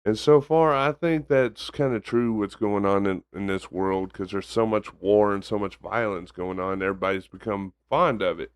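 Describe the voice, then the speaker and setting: A young man with a monotone baritone voice, co-hosting a casual podcast chat. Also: moderate pace, few pauses, clear, resonant tone, no echo, studio quality. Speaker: podcast co-host.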